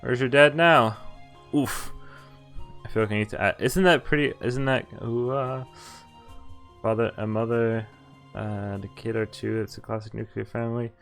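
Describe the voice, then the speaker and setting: A man's voice: mid-range voice, fairly even intonation, normal speed, vocal fry, fairly bright tone. A man streaming a visual novel, reading its text aloud with casual commentary over game music. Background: game background music.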